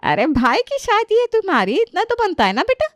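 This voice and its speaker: A woman's voice: very high-pitched, wildly swooping pitch, a little fast, no echo, voice pushed up, full character voice. A woman voicing a doting, hospitable middle-aged mother in a story, effusive and reassuring.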